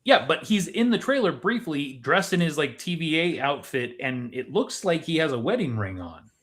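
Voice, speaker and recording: A man's voice: a little high, sing-song, moderate pace, a bit bright. Man explaining film theories in casual conversation. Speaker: co-host of a movie discussion show.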